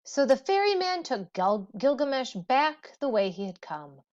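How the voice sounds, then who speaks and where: A woman reading a storybook aloud as a teacher would, expressively and deliberately, with dramatic pauses. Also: sits high, very animated. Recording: dull, muffled lo-fi audio.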